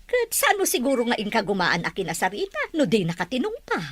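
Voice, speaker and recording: A woman's voice: a little high, wildly swooping pitch, quick pace, a little hiss or hum. A woman playing a mother talking with her grown child in an Ilocano audio drama. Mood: teasing, fond.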